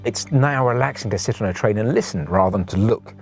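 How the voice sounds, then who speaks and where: Man, lowish voice, interview commentary, light music behind. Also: fast. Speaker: audio drama maker.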